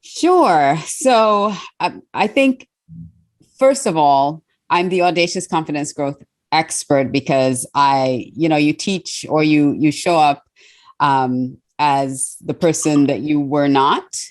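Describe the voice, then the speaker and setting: Low-voiced woman, reflective guest. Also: takes it slowly. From born in Trinidad, raised in Australia. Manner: reflective, thoughtful with many fillers. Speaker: guest, confidence coach. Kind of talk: interview answers.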